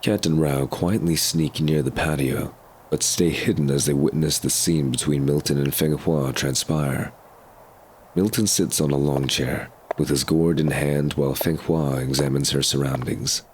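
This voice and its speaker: A man's voice: rumbling low, fairly even intonation, moderate pace, darkish tone, a little hiss or hum, poor audio. A man reading scripted narration for an audio drama.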